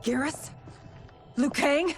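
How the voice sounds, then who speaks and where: A woman's voice: sits high, slow pace, faint music behind. An actress playing a bewildered, earnest warrior woman in a game cutscene, hesitant and questioning. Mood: confused. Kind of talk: dramatic video game cutscene acting.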